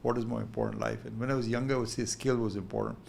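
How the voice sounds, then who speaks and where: A man in his sixties with an Indian English accent, talking thoughtfully as a guest in an unhurried interview. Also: mid-range voice, little pitch movement, creaky, a little mellow, a little hiss or hum. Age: 60s. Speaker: guest investor. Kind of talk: candid interview talk.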